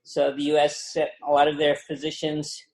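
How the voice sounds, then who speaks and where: A man in his sixties from the Philippines, a guest on a podcast, relaxed and joking in his answers. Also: fairly high pitch, moderate pace, roomy sound, rough recording. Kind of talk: casual interview.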